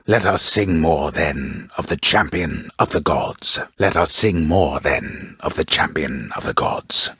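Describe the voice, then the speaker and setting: A man playing a grave storyteller of ancient Greek myth in a video game, narrating in a measured, formal, ominous and theatrical way. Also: very deep voice, fairly bright tone, breathy edge, no room sound, clean studio sound, full character voice. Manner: measured, formal, ominous, with archaic grand phrasing.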